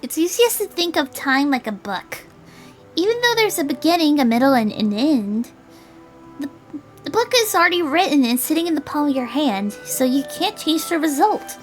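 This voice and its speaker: A woman's voice: high voice, sing-song, normal speed, faint music behind, voice pushed up. A woman voicing an eager, starry-eyed teenage girl in a visual novel, earnest and gushing. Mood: explaining. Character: eager, starry-eyed seventeen-year-old girl time traveler. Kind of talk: visual novel read aloud.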